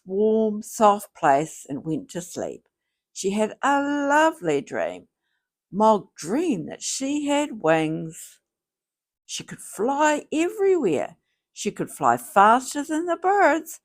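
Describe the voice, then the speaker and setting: A woman reading a children's storybook aloud in a theatrical, deliberate way. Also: fairly high pitch. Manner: slow, theatrical, deliberate phrasing. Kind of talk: expressive scripted storybook read-aloud.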